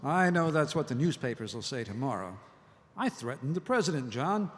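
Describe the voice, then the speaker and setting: A man's voice: medium pitch, very animated, moderate pace, slight background noise, natural voice. A man acting as a proud, weary and powerful commissioner in a live audio drama. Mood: grim, brooding. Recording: echoey hall, live stage recording.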